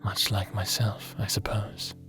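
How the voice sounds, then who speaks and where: An actor in an audio drama playing a gentle, doting scientist, soft-spoken and tender as if talking to a small child. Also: male voice, lowish voice, level tone, measured pace, close to an everyday voice. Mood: gentle, earnest. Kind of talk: scripted audio drama acting. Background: background music.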